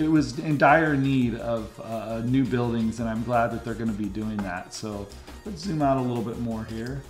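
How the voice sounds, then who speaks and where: A middle-aged man with an American accent talks casually, like a local host showing someone around on screen. His pitch sits in an ordinary male middle range. The room adds noticeable echo, and faint music plays behind him. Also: a little mellow. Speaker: real estate agent host. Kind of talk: casual map-tour commentary. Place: indoor room, screen recording with webcam.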